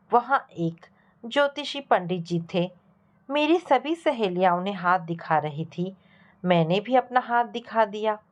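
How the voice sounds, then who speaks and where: A woman reading a scripted first-person story as a narrator, in a measured storytelling way. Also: medium pitch.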